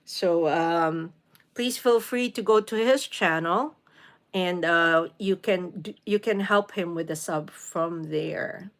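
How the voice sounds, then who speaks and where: A woman from the Philippines in her forties hosts a relaxed live stream from home. She chats easily with viewers and greets them by name. Her talk is loose and unscripted, full of small fillers. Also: fairly low pitch, slow pace. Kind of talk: casual live stream chat reading viewer comments. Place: home in New York City.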